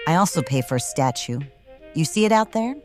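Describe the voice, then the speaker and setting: An actress playing a smirking, cruel wrestling boss in a noir audio drama, with a taunting, theatrical manner. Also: female voice, low-pitched, expressive, fairly quick, soft background music. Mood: smug, cruel. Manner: taunting, theatrical, hums while eating.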